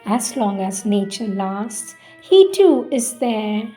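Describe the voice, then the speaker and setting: A woman reciting spiritual poetry in a solemn, measured, deliberate way with pauses between phrases. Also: mid-range voice, very animated, warm tone. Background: music.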